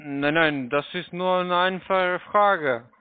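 A man's voice: high-pitched, takes it slowly, clean tone, dry recording. A man with a foreign accent talking on the phone in a taunting, insulting manner. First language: not German. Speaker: call centre scam caller. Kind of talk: phone conversation. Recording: tinny dry phone line.

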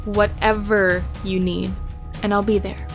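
A woman acts as a casual, easygoing guild leader in a fantasy audio drama. Her voice is mid-pitched and loose in manner, with soft music underneath. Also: level tone, unhurried, natural voice. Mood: casual, willing. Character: easygoing, distracted young female guildmaster. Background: background music.

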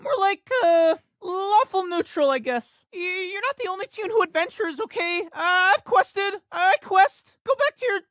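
A man's voice: extremely high pitch, lively intonation, normal speed, few pauses, a bit bright, no echo, clean studio sound. A man voicing an anxious, awkward fourteen-year-old cartoon boy for a video game, with a cracking adolescent voice and a hesitant, stammering delivery. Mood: nervous, chatty. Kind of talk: video game character voice lines.

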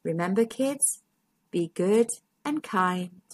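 A woman storytime host with a husky voice, reading a children's story expressively to young listeners. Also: on the low side, expressive, takes it slowly. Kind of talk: storybook read-aloud narration.

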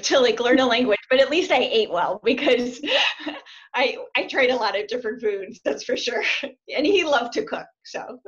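A chatty woman in her forties talks over a laptop webcam from a small room at home. She laughs often and restarts her sentences as she goes. The call audio comes through dull and lo-fi. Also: mid-range voice. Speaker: language student. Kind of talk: casual interview over video call. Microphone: laptop webcam on video call.

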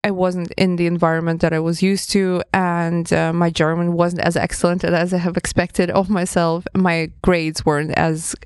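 A woman's voice: fairly low pitch, level tone, normal speed, hardly any pauses, clear, resonant tone, no room sound. Woman in her thirties, thoughtful and hesitant podcast guest, from Russia; dry close studio mic. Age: 30s. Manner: thoughtful, hesitant, laughs often. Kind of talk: casual interview conversation. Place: studio room with table. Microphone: studio mic with headphones.

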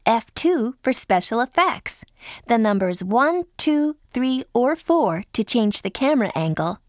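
A cheerful teenage girl guiding players through a game. Her voice is fairly high and very animated. She instructs clearly and encouragingly. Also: unhurried, dry recording, clean studio sound. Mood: helpful, upbeat. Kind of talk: scripted game instructions and praise.

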